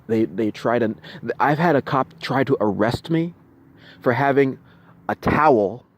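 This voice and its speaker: A man venting in an unscripted video monologue, halting and repeating himself, with insistent emphasis. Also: mid-range voice. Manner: halting, repetitive, with restarted phrases. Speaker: vlogger recounting personal experiences.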